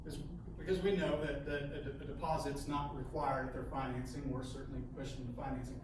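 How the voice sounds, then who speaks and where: A man's voice: medium pitch, flat delivery. Guy running a sales training, keeps saying okay?, stumbles on words, recorded far off with faint hiss. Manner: halting, repeats words, ends points with "okay?". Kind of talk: coaching a training session with role-play. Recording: echoey room, mic far off, faint hiss.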